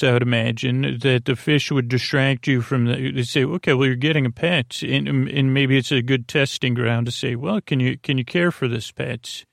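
A man hosting a podcast with a meandering, halting bedtime monologue, often losing his thread as he goes. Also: mid-range voice, creaky, no room sound, studio quality. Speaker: podcast host. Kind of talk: rambling, improvised bedtime monologue.

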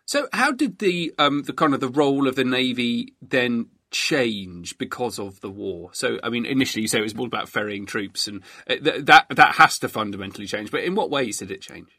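A man's voice: medium pitch, animated, fairly quick, hardly any pauses, bright, forceful tone, no room sound, studio quality. A man hosting a history interview, putting probing questions in a conversational way. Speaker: interviewer, podcast host.

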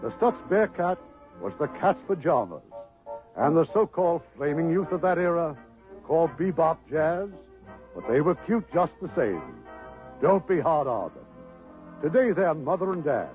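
A man's voice: a little high, big pitch swings, moderate pace. A man hosting and narrating the setup of a 1950s radio drama. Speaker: radio host and producer. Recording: muffled old radio broadcast with music.

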